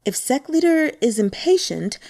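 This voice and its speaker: Sly female sect protector for fantasy audiobook, played by a woman: coaxing, exasperated beneath courtesy, animated pitch. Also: moderate pace, creaky, full character voice. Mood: deferential, wheedling. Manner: soft-spoken, coaxing, teasing.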